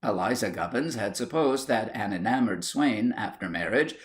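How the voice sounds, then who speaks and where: A man reading a comic period short story as scripted narration, in a measured, literary storytelling manner. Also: lowish voice, expressive. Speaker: audiobook narrator.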